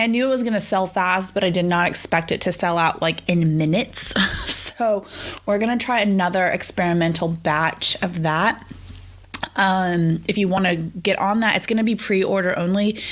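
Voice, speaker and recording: A woman's voice: mid-range voice, a bit bright. A middle-aged woman hosting a casual solo podcast, sick and sniffly, chatting in a rambling way with many fillers. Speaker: podcast host.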